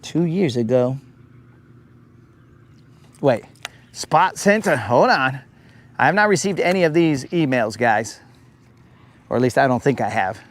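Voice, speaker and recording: A man's voice: mid-range voice, lively intonation, unhurried, long pauses. A man hosting a live fishing stream outdoors on a lake. He chats casually, reads viewer comments aloud and often says "bro". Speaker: fishing livestream host.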